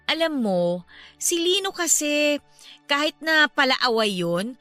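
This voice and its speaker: A woman playing a caring, frank friend in a radio drama, speaking in an expressive, chatty, emphatic way. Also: high voice, slow, faint music behind, exaggerated voice. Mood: earnest. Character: animated woman friend recalling a troubled college buddy, caring, frank.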